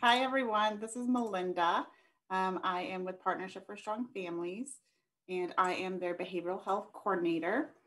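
A woman's voice: mid-range voice, moderate pace, a bit bright. A woman, a behavioral health coordinator, presents her agency steadily over a video call, with frequent ums. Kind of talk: presenting over a shared screen.

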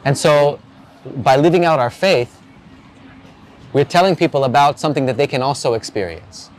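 A man's voice: fairly high pitch, normal speed. A man in his forties interviewing a stranger outdoors by the sea, asking earnest, probing questions with frequent restarts. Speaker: street evangelist interviewer. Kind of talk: street interview about faith. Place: outdoors by the ocean.

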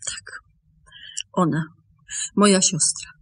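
An actress playing a grave, composed woman with a secret to confess in a radio play, speaking deliberately with pauses. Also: fairly low pitch, fairly even intonation, fairly bright tone, husky, exaggerated voice. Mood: solemn confession. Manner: deliberate, measured, broken by pauses.

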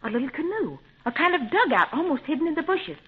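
A woman's voice: high voice, very animated, quick pace. A woman playing an earnest, plucky, girlish young adventurer in a 1930s radio serial. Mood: eager. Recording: thin, phone-like 1930s radio recording.

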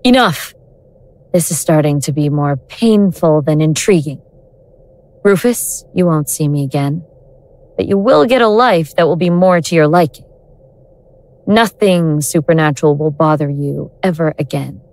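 A woman playing a cold, godlike destroyer in an audio drama, deliberate, condescending and scornful. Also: sits low, a little mellow, no room sound, low-quality recording. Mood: imperious, impatient.

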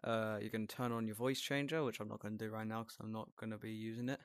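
A man's voice: on the low side, flat delivery, fast talker, smooth, dry recording. Man giving a casual tech tutorial, dry sound. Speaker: tutorial video host. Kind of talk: casual step-by-step tutorial narration.

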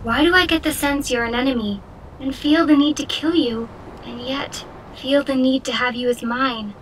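A woman's voice: high voice, slight background noise, rough recording. A woman voicing an earnest, protective teenage anime girl with an emotional, pleading manner in a fan audio drama. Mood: conflicted, tense. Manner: emotional, pleading, drawn-out cries. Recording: loud music under the voice.

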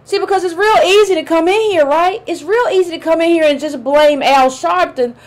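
Woman, thirties, a bit hoarse, high voice, blunt livestream commentary, small room. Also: a little fast. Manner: direct, opinionated, talks to her chat. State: a little hoarse. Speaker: political commentary host. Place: small room with a chalkboard backdrop.

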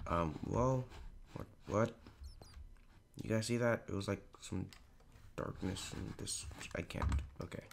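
A teenage boy talks through his game in a hoarse, raspy voice that sits a little low and keeps sliding into gravelly fry. He rambles along with plenty of fillers in a casual, chatty way. Keyboard clicks come through clearly behind him. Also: a little slow, pauses often, slight background noise. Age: teens. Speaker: gaming YouTuber. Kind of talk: casual Minecraft commentary.

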